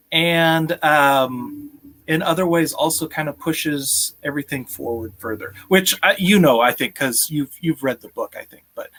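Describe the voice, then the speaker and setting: A man in his thirties talking casually and haltingly as co-host of a live stream over a video call. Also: a little high, fairly bright tone. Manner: halting, chuckles often. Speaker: author and game creator co-hosting a live stream. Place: indoor room at home, video call.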